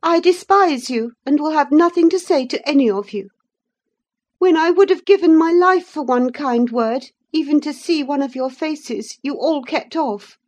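A woman voicing a scornful, haughty young widowed lady in an audiobook, imperious and biting, quick to rage. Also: high-pitched, expressive, mellow. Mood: cold contempt. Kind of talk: dramatised audiobook reading of a classic novel.

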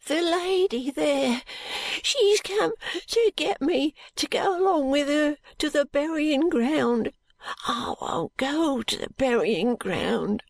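Woman voicing a high-pitched, hoarse sick boy. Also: lively intonation, dry recording, exaggerated voice. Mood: fearful, stubborn. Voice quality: hoarse, muttering. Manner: slow, drawling uneducated dialect. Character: sick, frightened, stubborn poor London boy, feverish. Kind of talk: audiobook character voice.

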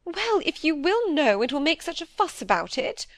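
Woman acting a high, fretful, pouting wife. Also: very animated, brisk, no echo, clean studio sound. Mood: flustered, defensive. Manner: plaintive, pouting, evasive. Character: fretful, wheedling, self-excusing middle-aged wife.

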